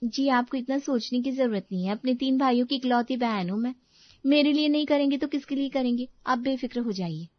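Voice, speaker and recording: Woman doing a high whiny entitled daughter in a moral story, pushy, bit dull sound. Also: fairly quick, no echo, close to an everyday voice. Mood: wheedling. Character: entitled, demanding married daughter, wheedling and boastful. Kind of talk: animated moral story voice acting.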